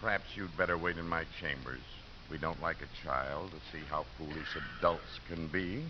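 An actor playing a dryly authoritative, exasperated judge in a 1950s radio drama, formal and wry. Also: male voice, low-pitched, moderate pace, close to an everyday voice. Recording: dull old radio recording, clear hiss.

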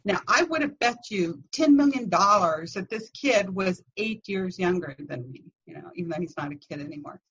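A middle-aged woman, a guest on a podcast, chatting casually and telling lively anecdotes in which she acts out other people's voices. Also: on the low side, normal speed, hardly any pauses, breathy edge, muffled recording. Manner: animated storyteller acting out voices in anecdotes. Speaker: podcast guest, confidence coach. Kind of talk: casual interview chat.

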